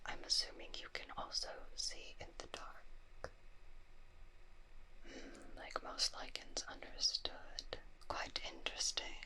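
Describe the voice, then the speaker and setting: A woman performing an ASMR roleplay as a calm, fascinated doctor, measured and clinical in a soft breathy whisper. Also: low-quality recording. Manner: measured, clinical note-taking with murmured asides.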